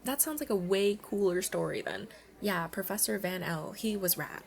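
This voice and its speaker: A woman's voice: mid-range voice, animated, normal speed, creaky. A woman playing a playful, pleading young alien princess at college in an ASMR roleplay, chatting and coaxing the listener directly.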